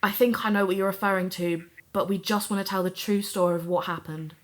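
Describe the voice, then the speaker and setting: A woman's voice: mid-range voice, fairly even intonation, fairly quick, close to an everyday voice. A woman in her twenties voicing a troubled young podcaster in an audio drama, talking candidly to a voice recorder. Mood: earnest. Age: 20s. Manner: candid, self-deprecating, talking to herself. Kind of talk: audio drama, diary-style monologue.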